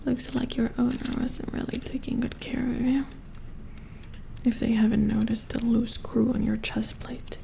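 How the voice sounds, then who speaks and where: A woman playing an attentive, mildly worried robot repair engineer in a soft-spoken ASMR roleplay, murmuring hesitantly in a breathy whisper as she works. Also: a little high, little pitch movement, normal speed, background noise. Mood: focused, concerned.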